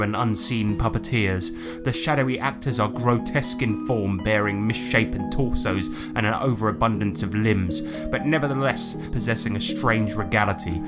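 Measured male game master over music. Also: lowish voice. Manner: measured, descriptive. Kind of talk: dramatic scripted tabletop game narration.

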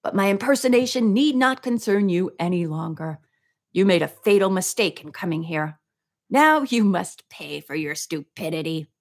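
Woman reading as a sneering male storybook villain with fry. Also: fairly low pitch, expressive, normal speed. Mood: mocking, menacing. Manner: taunting, contemptuous. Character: mocking, sneering male villain posing as a servant.

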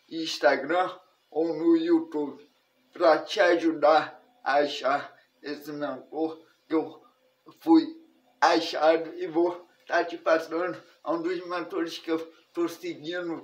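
A Brazilian man in his thirties teaching an online lesson to camera, speaking haltingly in short phrases. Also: on the high side, low-quality recording. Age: 30s. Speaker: personal finance instructor. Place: indoors in a furnished room at home.

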